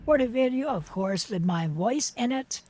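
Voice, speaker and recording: A man narrating casually, his voice pitched up by a granny voice-changer effect. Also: expressive, normal speed, a little mellow. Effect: granny voice-changer filter, pitched up.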